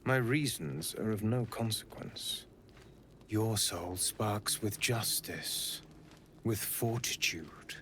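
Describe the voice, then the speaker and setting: Slow, solemn spirit of justice. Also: male voice, lowish voice, a bit bright, a little hiss or hum. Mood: grave, measured. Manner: slow, grave, archaic phrasing. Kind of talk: video game character dialogue.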